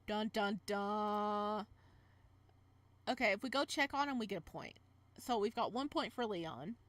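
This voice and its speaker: Lively, expressive woman reading a game aloud. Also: medium pitch, unhurried, dry recording. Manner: expressive, playful reactions between read passages. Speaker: let's play host reading a visual novel aloud. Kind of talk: casual game playthrough, reading narration aloud with side comments.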